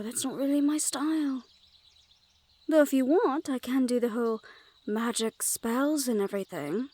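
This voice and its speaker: Woman acting a high-voiced, teasing Fae forest guardian. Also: dark tone, lightly acted. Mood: playful, teasing. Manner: playful, sardonic, haughty. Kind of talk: fantasy audio roleplay acting.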